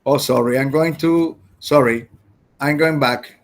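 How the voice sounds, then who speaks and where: A man who speaks Spanish as his first language, teaching an English grammar class online, halting and repeating his words as he explains. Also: medium pitch.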